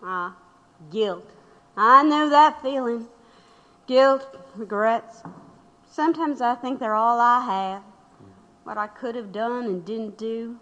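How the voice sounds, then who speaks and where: Casting: weary, reflective woman revolutionary, radio play; sharp, highish voice, long reverb. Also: slow pace, pauses often, lo-fi sound. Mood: rueful. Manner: reflective, rueful. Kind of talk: audio drama acting.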